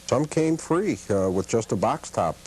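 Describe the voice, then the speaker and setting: A man in his fifties, a guest collector, answering interview questions in a measured, thoughtful way with many filler pauses. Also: medium pitch, animated, a little mellow, a little hiss or hum, poor audio.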